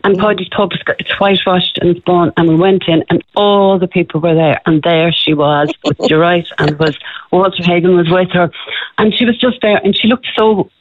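A middle-aged Irish woman, a former television presenter, telling a lively story as a radio interview guest. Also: on the low side, moderate pace, no room sound. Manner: breathless, excitable, addresses host by name. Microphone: telephone. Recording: tinny phone line.

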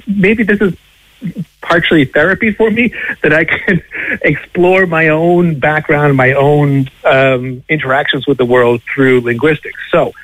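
A man's voice: a little high, a bit bright, dry recording, rough recording. Discursive American academic man, dry sound. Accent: American with Irish tinge. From Boston, United States. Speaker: linguistics professor, radio guest. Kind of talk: conversational expert explanation.

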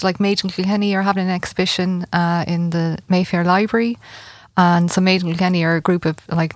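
An Irish woman near fifty speaks as an interview guest, her voice sitting a little low. She talks in a halting, friendly way full of ums and restarts. The sound is close and dry. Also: no echo. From Ireland. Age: 40s. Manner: hesitant, many fillers and restarts. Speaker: arts festival organiser, guest. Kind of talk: conversational radio interview.

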